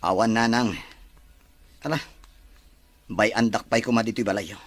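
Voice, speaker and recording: A man's voice: lowish voice, fairly even intonation, normal speed, close to an everyday voice. A man playing a dejected, withdrawn grown son in a Filipino family drama, with a Filipino accent. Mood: dejected, evasive. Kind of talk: naturalistic drama dialogue.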